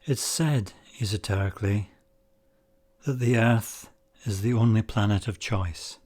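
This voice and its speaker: A man with a fairly low voice leads a guided meditation. He gives spoken instructions in short, deliberate phrases, pausing between them in a measured way. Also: a little mellow.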